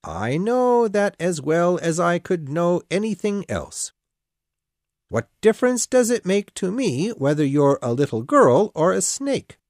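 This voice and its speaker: A woman voicing a fretful, suspicious male pigeon in a storybook reading, with a shrill, accusing, stubborn manner. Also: big pitch swings, measured pace, darkish tone, dry recording, clean studio sound.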